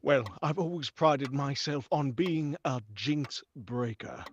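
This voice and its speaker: A man's voice: mid-range voice, slow. A man playing a stubborn, sceptical and suspicious house buyer in 1940s radio drama style, on a lo-fi recording. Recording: dull lo-fi sound with faint noise.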